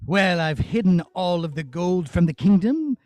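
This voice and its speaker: A man's voice: high-pitched, expressive, normal speed, a little mellow, dry recording, voice pushed down. A man voicing a scheming, blustering, easily panicked evil wizard in a children's puppet show. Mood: gloating, scheming.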